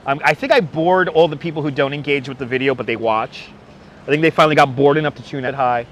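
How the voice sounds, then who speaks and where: A man's voice: fairly high pitch, fast, a bit bright. Chatty New York man livestreaming outdoors. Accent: faint New York. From New York, Brooklyn. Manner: chatty, rambling, thanks viewers by name. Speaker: livestream host. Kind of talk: casual live-stream chat while out in the city. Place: outdoors on a city street. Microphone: wireless clip-on mic. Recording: street noise in the background.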